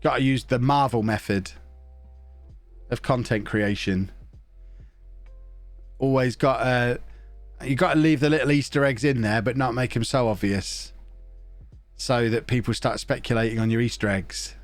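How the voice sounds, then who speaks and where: A man's voice: medium pitch, normal speed, long pauses, no room sound, soft background music. A man chatting casually on a live stream, joking and reading out viewer messages while he plays a football management game, with a slightly blocked nose. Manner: chatty, joking, reads chat messages aloud, hums to himself.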